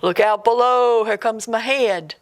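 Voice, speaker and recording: A woman storyteller voicing a male ghost with a fiery beard who calls out in slow, drawn-out words. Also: a little high, lightly acted. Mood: calling.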